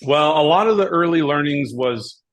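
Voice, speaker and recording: A man from Hawaii, a tournament director guest, telling his story in a steady, methodical way. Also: a little high, normal speed. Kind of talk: casual interview.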